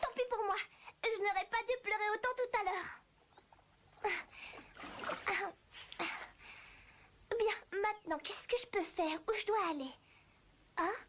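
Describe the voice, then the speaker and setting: A woman voicing a polite, bewildered little girl in an animated fairy tale, hesitant and earnest as if talking to herself. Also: very high voice, brisk. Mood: musing, fretful. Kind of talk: French animated film dubbing.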